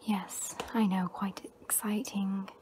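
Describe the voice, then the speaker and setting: Girl in her 20s doing gentle young jedi scavenger woman, asmr whisper, really slow, noisy close-up recording. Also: medium pitch, little pitch movement, lightly acted. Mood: hushed, earnest. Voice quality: soft, breathy whisper. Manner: slow, hushed, soothing, with long pauses. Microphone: close to the mouth.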